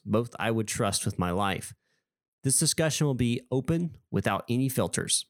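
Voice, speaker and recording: Measured, low-voiced male podcast host with a cold. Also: no room sound, clean studio sound. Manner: measured, deliberate delivery. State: has a cold, sniffly. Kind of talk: scripted podcast intro then hosting a discussion.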